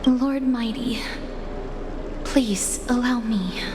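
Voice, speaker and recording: An actress playing a gentle, earnest young woman in a video game, speaking softly, formally and deliberately. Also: fairly high pitch, noisy background. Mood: solemn, sorrowful. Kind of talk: dramatic video game voice acting.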